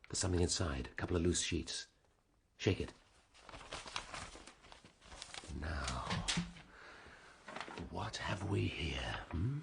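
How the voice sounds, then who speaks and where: An actor playing a brooding, cultured middle-aged English police inspector in a radio drama, wry and musing in manner, with a husky voice. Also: male voice, a bass voice, a little mellow, a little hiss or hum, heavily acted. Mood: intent. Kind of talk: naturalistic radio drama acting.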